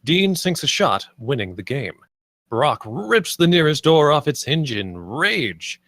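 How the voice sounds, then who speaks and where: A man's voice: a little high, very animated, a little slow, fairly bright tone, no echo, studio quality. A man reading scripted stage directions as the narrator of a screenplay table read.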